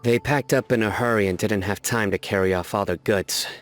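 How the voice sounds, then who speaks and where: Man voicing a serious desert warrior, deep voice, clipped and measured, decisive. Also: soft background music, natural voice. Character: stern, composed young desert warrior, calm and decisive. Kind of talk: game cutscene voice acting.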